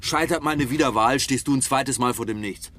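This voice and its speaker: An actor playing a drunken, self-righteous middle-aged politician who rambles and stammers pompously in a German radio crime drama. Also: male voice, mid-range voice, normal speed, fairly bright tone, close to an everyday voice. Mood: insistent. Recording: noisy car interior ambience.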